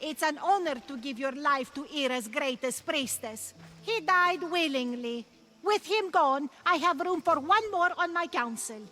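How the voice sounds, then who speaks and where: An actress voicing a cold, zealous cult priestess in a video game cutscene, speaking softly with quiet menace. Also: female voice, high-pitched, animated, a little slow, soft background music, exaggerated voice. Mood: menacing. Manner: soft, menacing, condescending. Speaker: cult leader villain.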